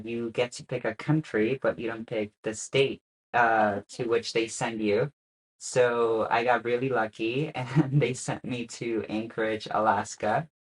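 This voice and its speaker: A man's voice: medium pitch, rough recording. Young man telling his story. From Spain. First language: Spanish. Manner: relaxed, hesitant with frequent "uh" fillers and soft laughs. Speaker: guest. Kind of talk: casual interview chat.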